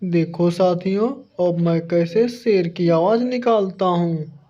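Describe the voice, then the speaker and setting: A woman's voice: lowish voice, slow pace, dark tone, highs cut off. A woman voicing a clever, cheeky male monkey in a children's cartoon, mock-polite and playful. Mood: showing off. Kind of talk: animated children's story dialogue.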